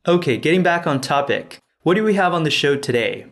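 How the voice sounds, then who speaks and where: A man's voice: a little high, sing-song, brisk. A man speaking as a podcast host, introducing the show and putting questions to a guest. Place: sit-down interview in Shenzhen.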